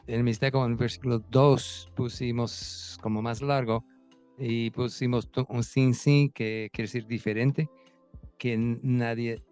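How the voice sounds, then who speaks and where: Hombre de voz plana, lenta y nítida. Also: male voice, medium pitch, level tone, a little mellow, clean tone, faint music behind. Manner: slow, explaining his team's choice with restarts. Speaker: workshop participant.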